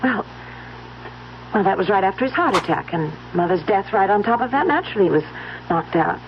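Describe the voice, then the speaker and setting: An actress playing a young, wistful, defensive stepdaughter in an old radio drama. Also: female voice, mid-range voice, lively intonation, brisk, lightly acted. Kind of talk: 1970s radio drama acting. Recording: dull lo-fi old radio broadcast, faint noise.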